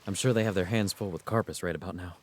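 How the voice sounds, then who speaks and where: An actor playing an earnest, loyal young grandson on the run in a radio drama. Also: male voice, deep voice, fast, slight background noise, close to an everyday voice. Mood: puzzled, loyal.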